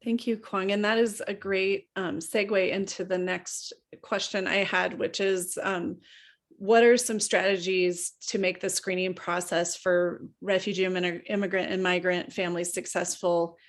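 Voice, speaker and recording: A woman moderating a panel, putting questions to the guests in an even, measured way. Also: fairly low pitch.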